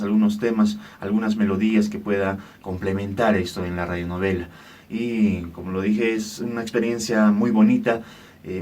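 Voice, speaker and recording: A man giving a testimonial, halting and often repeating the openings of his phrases. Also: on the low side, darkish tone. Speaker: composer for a radio drama. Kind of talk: testimonial talk. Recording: distant from the microphone.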